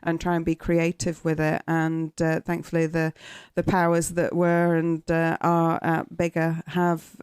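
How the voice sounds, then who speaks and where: Talkative British woman, smooth and low. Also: monotone, measured pace, hardly any pauses, dry recording, studio quality. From United Kingdom. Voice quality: loud voice. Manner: chatty, warm storytelling with frequent fillers and laughs. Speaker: guest. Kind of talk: casual podcast interview.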